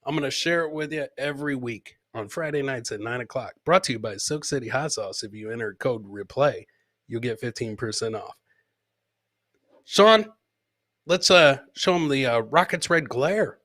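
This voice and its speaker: A man hosting a live comedy show, joking crudely about video clips in an animated way and repeating his lines for emphasis. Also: mid-range voice, moderate pace. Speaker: podcast host.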